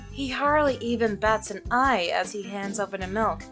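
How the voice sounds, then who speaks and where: A woman hosting a visual novel playthrough, reading aloud and commenting in a playful, expressive manner. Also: medium pitch, moderate pace. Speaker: gaming video host. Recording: music behind the voice.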